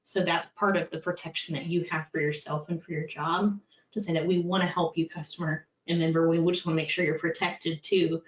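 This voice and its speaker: A woman's voice: deep voice, fairly even intonation, fairly quick. A middle-aged woman in a compliance role giving a candid, practical talk, with many fillers. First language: English. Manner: conversational, practical, with frequent fillers. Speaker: compliance executive presenting. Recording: far-off echoey dull-sounding room.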